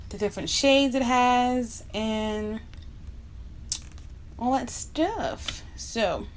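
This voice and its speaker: A woman's voice: mid-range voice, a little hiss or hum. A young woman from Barbados chatting bluntly and casually while doing her makeup for a vlog, dropping in slang asides. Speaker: beauty vlogger.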